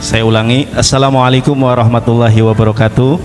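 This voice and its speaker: A man gives a slow, formal greeting in an even, flat tone. He sounds distant in a reverberant hall. Music plays clearly behind him. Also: mid-range voice.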